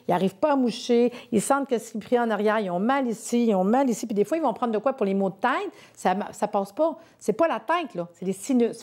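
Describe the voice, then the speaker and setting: A woman's voice: a little high, darkish tone. A woman with a Québécois accent presenting a sponsored health segment, chatty and persuasive. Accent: Québécois French.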